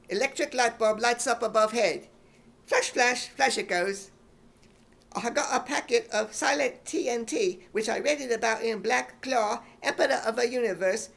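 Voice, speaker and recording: Boastful, gullible small British schoolboy, high-pitched, for radio comedy. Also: male voice, vocal fry, fairly bright tone, exaggerated voice. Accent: imitation British. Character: naive, boastful little schoolboy who reads his stage directions.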